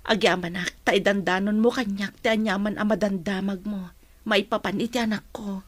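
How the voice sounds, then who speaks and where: Woman acting husky-voiced village mother. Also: medium pitch, fairly even intonation, moderate pace, dry recording, lightly acted. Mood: concerned, curious. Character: concerned Filipino village mother talking about her child. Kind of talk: Ilocano radio drama acting.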